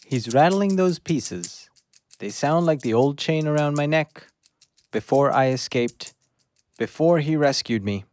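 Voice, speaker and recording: Man voicing a loyal pet dog, eager, short simple phrases, raised pitch, dry close sound, audio drama. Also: lively intonation, unhurried, a little mellow, no room sound. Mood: wistful. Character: eager, devoted pet dog watching over his human.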